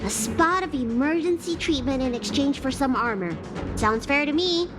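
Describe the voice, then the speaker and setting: A woman's voice: high-pitched, animated, normal speed, over loud music. A woman voicing a playful, clever young dragon-girl healer with a childlike, theatrical delivery in a video game. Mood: scheming, sly. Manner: theatrical, petulant.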